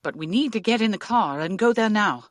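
A woman playing a steady, caring, commanding alien elder in an audio drama, with formal, measured phrasing. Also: mid-range voice, no echo, studio quality, natural voice. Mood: reassuring, solemn.

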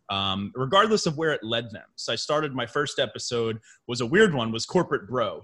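An eager young man hosting an interview podcast, his talk loose with fillers and false starts. His voice sits at an ordinary middle pitch. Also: fairly bright tone. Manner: eager, filler-heavy, restarts often. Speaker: podcast host. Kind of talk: conversational interview with sponsor read.

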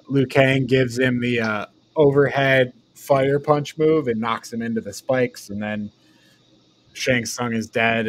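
A man hosting a podcast, casually recapping and discussing a film in a halting way that tends to trail off. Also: mid-range voice, fairly bright tone, slight background noise. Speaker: podcast host.

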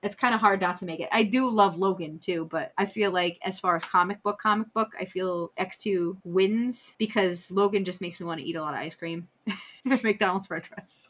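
A woman's voice: mid-range voice, normal speed, fairly bright tone. A woman co-hosting a casual pop-culture podcast, talking in an informal, opinionated and enthusiastic way. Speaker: podcast co-host.

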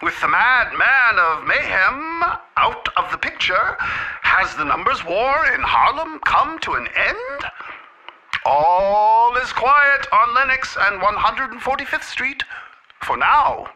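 High, sharp 1930s radio newsman. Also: very animated, slow pace, slight background noise, full character voice, poor audio. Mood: dramatic, punchy. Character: excitable 1930s radio newsman reading headlines. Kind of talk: 1930s radio news acting.

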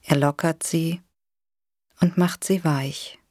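A woman leading a guided imagery relaxation exercise in a soothing, gentle, unhurried way with long pauses. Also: low-pitched, steady tone, no echo, studio quality. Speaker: guided meditation instructor.

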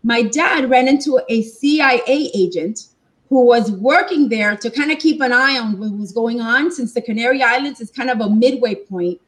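A Cuban-born woman speaks as an interview guest, telling stories casually and quickly with a few restarts. Her voice sits a little high. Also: rough recording. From Cuba.

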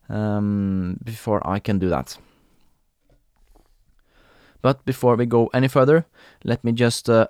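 A young man from Norway hosting a podcast in English with a Norwegian accent, talking casually with frequent pauses and fillers. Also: medium pitch, clean tone, dry recording, studio quality. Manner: hesitant, many fillers and restarts, laughs often. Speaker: podcast host. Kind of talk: casual solo podcast talk.

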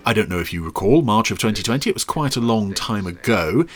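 A man's voice: fairly low pitch, expressive, quick pace. A man presenting a review segment in a wry, self-deprecating, comic way. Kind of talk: comic scripted review narration.

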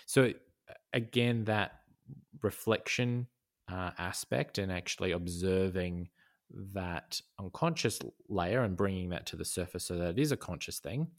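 A man's voice: low voice, unhurried. A man talking as a podcast guest in a casual conversation, thoughtful, with many fillers and restarts. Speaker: podcast guest, design educator.

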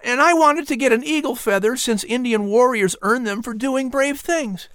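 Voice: mid-range voice, moderate pace, fairly bright tone, no room sound, voice pushed up, close to an everyday voice. An audiobook narrator voicing an earnest, eager young boy in colonial times. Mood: confessing.

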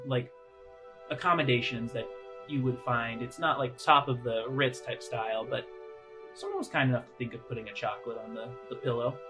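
A man's voice: mid-range voice, a bit bright, low-quality recording. Man narrating a tabletop game over music. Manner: halting, conversational descriptions. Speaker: game master. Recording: music playing under the voice. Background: background music.

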